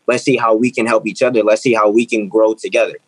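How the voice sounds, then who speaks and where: A man's voice: fairly low pitch, fairly even intonation, fast, husky, no echo, rough recording. Young man, twenties, interview guest, earnest, repeats phrases, dry close sound. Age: 20s. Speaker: guest, author and speaker. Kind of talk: interview conversation.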